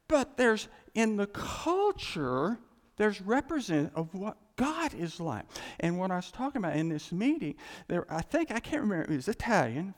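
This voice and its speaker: Preacher man, gravelly, animated pitch, rambling and chuckly, long hall echo. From United States. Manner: rambling, self-interrupting, chuckling storyteller. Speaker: pastor. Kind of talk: casual sermon with jokes.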